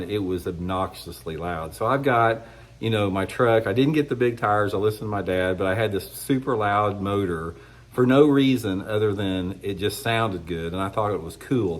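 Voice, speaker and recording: A middle-aged man, congested from a cold, giving a blunt, rambling opinion monologue on his fishing channel. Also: fairly low pitch. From United States. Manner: rambling, blunt, restarts often. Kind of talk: casual opinion monologue to camera.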